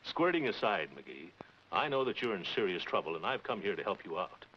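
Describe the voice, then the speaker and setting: Deep, blustering pompous schemer. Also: male voice, very animated, fairly quick. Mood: unctuous, scheming. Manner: stammering, unctuous, pompous. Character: pompous, blustering, scheming middle-aged male neighbor. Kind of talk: 1940s radio-style film comedy acting. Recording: muffled, hissy 1940s film soundtrack.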